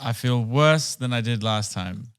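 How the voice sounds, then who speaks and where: Young man, twenties, laid-back podcast co-host on a close mic, quick to react with quips. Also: mid-range voice, clear, resonant tone. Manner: relaxed, reacts with short quips and exclamations. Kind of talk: casual chat. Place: home room with shelves, indoors. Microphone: boom studio mic close up.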